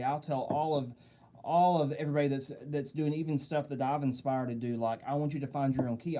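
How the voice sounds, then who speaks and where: A man's voice: mid-range voice, mellow. A young man with a Southern US accent hosting a live wood carving Q&A, talking in an earnest, rambling way and repeating himself as he addresses viewers. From southern United States. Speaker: wood carving channel host. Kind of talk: live-stream Q&A chat. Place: home workshop with lights.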